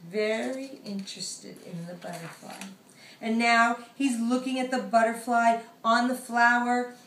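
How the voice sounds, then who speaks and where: High-voiced woman in an echoey room. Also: fairly even intonation, unhurried, fairly bright tone, a little hiss or hum. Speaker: author reading her picture book. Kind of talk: animated read-aloud storytelling. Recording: echoey room, distant microphone.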